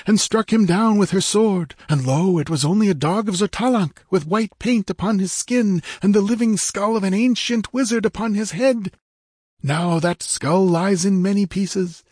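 A man voicing an excitable warrior in a fantasy audiobook, declaiming fervently in archaic phrases about bloody vengeance. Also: high-pitched, husky, no echo, studio quality, voice pushed up. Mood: fearful, dramatic. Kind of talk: audiobook fantasy adventure character.

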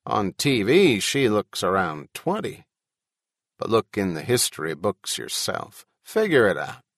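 Man doing a sly, offhand huckster, swooping pitch. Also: huge pitch swings, a little slow, fairly bright tone, no room sound, clean studio sound, exaggerated voice. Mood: smug, offhand.

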